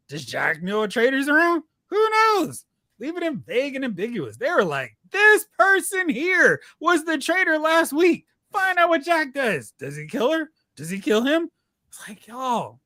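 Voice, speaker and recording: A man co-hosting a casual pop culture chat, talking in an animated, opinionated way. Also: very high-pitched, dry recording, studio quality. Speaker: podcast co-host.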